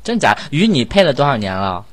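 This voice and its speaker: A man's voice: medium pitch, big pitch swings, fairly quick. A man hosting a casual cast chat, bantering and asking questions in a jokey, teasing way. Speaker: host of a voice-actor cast chat.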